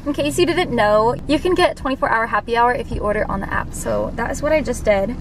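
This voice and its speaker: A young woman with an American accent chats casually in a fairly high voice. She sounds upbeat and informal, like someone vlogging for friends. The mic sits away from her in a car, with loud road noise all around. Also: brisk. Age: young adult. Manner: chatty, upbeat, informal with frequent "like". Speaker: nursing student vlogger. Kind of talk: casual chat to camera. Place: inside a car, driving. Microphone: mounted camera at arm's length. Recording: noisy car, mic at a distance.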